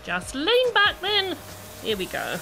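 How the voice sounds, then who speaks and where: Casting: woman to play nosy, secretly spiteful salon stylist, cooing and animated, radio comedy with music underneath. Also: very high voice, slow pace, gravelly, full character voice. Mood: sugary, chatty. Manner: cooing endearments, mock-innocent. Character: falsely sweet, nosy, vengeful woman hairdresser. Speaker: actor in an amateur audio play.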